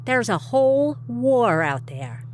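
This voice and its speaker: A woman's voice: a little high, very animated, slow, a little hiss or hum. A woman playing a streetwise, protective female vampire in an audio drama, talking informally, frankly and reassuringly. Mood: cautioning.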